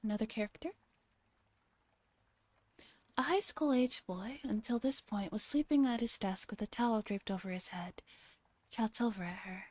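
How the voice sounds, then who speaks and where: A soft-spoken woman streaming a gentle read-aloud in an ASMR style, sometimes slipping into breathy near-whispers. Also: medium pitch, normal speed, pauses often, no echo. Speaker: streamer reading a visual novel aloud.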